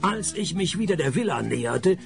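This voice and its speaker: Casting: sardonic radio detective, gravelly, animated pitch swings, deadpan wit. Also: male voice, moderate pace, music in the background. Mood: wry narration. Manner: deadpan, sarcastic. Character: wry, world-weary male private detective. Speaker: lead actor and narrator. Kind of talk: radio detective comedy acting and narration.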